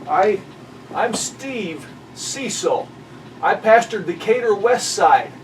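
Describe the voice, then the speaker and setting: A middle-aged man from southeast Missouri, a pastor telling stories in an unhurried, friendly way. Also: sits high, lively intonation. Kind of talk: casual conversation. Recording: distant mic with faint background noise.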